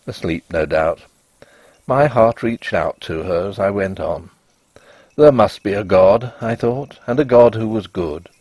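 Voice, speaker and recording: A man reading a ghost story in a theatrical, suspenseful way, with deliberate phrasing and a husky voice. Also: on the low side, darkish tone. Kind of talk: dramatic first-person ghost story narration.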